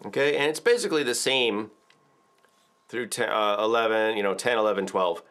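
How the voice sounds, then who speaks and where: Middle-aged male music teacher, slightly low voice, casual explaining tone, studio video lesson. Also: normal speed, fairly bright tone. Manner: chatty, explains with filler words and counts aloud. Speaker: ukulele teacher. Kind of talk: relaxed video tutorial. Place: indoor studio with drums.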